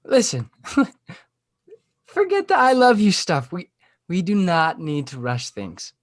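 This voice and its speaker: Man playing lovesick sixteen-year-old boy, needy, halting, pleading, comedy script read, dry sound. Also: sits high, wildly swooping pitch, no room sound, heavily acted. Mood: insistent, pleading.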